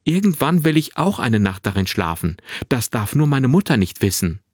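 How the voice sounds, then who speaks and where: A man voicing a confident, carefree boy of about twelve in a narrated story, with a clear, bright tone and a forthright manner. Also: mid-range voice, very animated, moderate pace, dry recording, clean studio sound, voice pushed up. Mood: eager, proud. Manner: forthright, unembarrassed, boyishly matter-of-fact. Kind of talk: acted dialogue in a narrated story.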